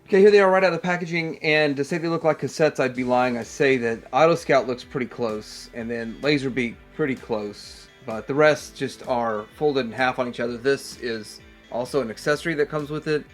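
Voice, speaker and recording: Guy doing a toy review vid, average pitch, rambly, thinking out loud, music behind him. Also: a little fast. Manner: rambling, thinking aloud as he handles things. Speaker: toy review channel host. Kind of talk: casual hands-on product review.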